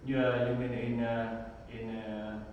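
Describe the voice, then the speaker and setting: Slow, halting man with Vietnamese accent. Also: mid-range voice, flat delivery, lo-fi sound. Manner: halting, many pauses and fillers, simple English. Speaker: Buddhist monk. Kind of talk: interview answers. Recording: echoey hall, far from the mic, faint noise.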